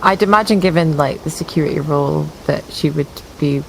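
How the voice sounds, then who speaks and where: A woman's voice: sits low, brisk, gravelly, slight background noise, poor audio. A woman chatting casually as a player in a tabletop roleplaying game.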